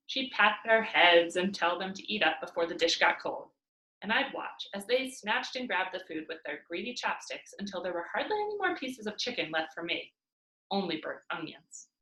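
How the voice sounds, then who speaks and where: Female read-aloud narrator for children's fiction; very lively intonation, roomy home sound. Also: mid-range voice. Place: indoors at home by a fireplace.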